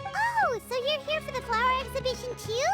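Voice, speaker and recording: A woman's voice: very high voice, very animated, brisk. A woman voicing a tiny, childlike fairy companion girl in a video game, naive and exclamatory. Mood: excited. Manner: speaks of herself in third person, exclamatory. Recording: loud game music behind. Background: game music.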